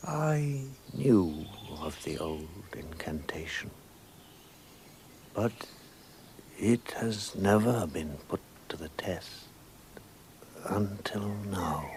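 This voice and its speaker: A man playing a great, kingly lion who is wise and gentle, speaking slowly and solemnly in a radio drama. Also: low-pitched, expressive, slight background noise, full character voice. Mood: solemn, hushed. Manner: slow, solemn, measured delivery.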